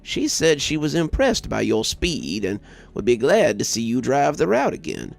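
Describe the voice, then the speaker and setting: A man plays an earnest, fair-minded trucking boss in an audio story. He is plainspoken and measured, with a gravelly voice over background music. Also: mid-range voice, big pitch swings. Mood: sincere, approving.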